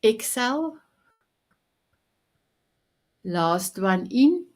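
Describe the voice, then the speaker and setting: A woman's voice: on the high side, very animated, extremely slow, darkish tone. A woman whose first language is Afrikaans, hosting a live-streamed motivational show in an upbeat, affirming way, full of endearments. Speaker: host.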